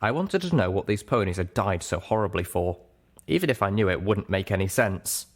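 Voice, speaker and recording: A man with a fairly low voice narrates an audiobook. He reads scripted first-person story prose. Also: big pitch swings, fairly quick.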